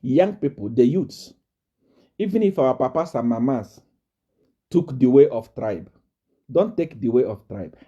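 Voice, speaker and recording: Fiery, animated male preacher. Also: mid-range voice, unhurried, long pauses, slightly rough, dull audio. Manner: fiery, repetitive, rising to shouts and laughter. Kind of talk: impassioned live-stream address.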